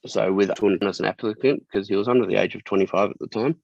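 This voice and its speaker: Australian man, deep gravelly voice, plain-spoken guest, slightly dull lo-fi sound. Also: fast. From Tasmania, Australia. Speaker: interview guest. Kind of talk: casual interview talk.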